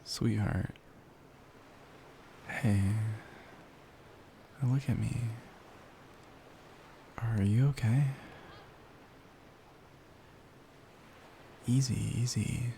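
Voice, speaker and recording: A man playing a caring, worried boyfriend in an intimate ASMR roleplay, speaking softly and soothingly, often near a whisper. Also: mid-range voice, fairly even intonation, extremely slow, vocal fry, long pauses, a little hiss or hum. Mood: concerned, gentle. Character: caring, worried young boyfriend comforting his partner.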